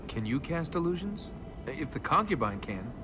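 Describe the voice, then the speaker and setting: A man's voice: a little high, sing-song, moderate pace. An actor playing a flippant, sardonic young male adventurer in a video game, talking casually. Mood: wry, casual. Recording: clear background noise.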